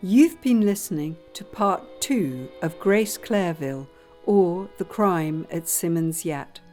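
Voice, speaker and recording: A woman's voice: fairly low pitch, lively intonation, takes it slowly, a little mellow, faint music behind. A woman announcer reading scripted closing credits for an audio drama.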